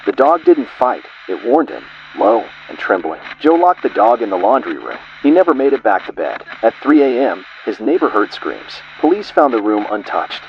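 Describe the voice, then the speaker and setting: A man's voice: medium pitch, very animated, moderate pace, hardly any pauses, lo-fi sound, a little hiss or hum. A man giving ominous scripted horror narration, delivered in short clipped fragments.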